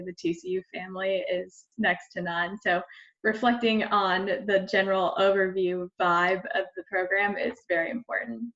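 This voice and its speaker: A woman speaks on a panel in a casual, quick way, with filler words. Her voice stays flat and even in pitch. The recording sounds muffled. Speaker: panelist, alumna. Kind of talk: panel discussion answers. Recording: dull sound.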